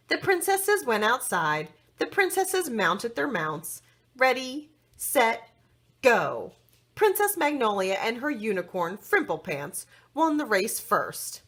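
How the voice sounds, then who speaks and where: A woman reading a children's story aloud expressively, with dramatic, deliberate phrasing for young listeners. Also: mid-range voice, a bit bright, husky.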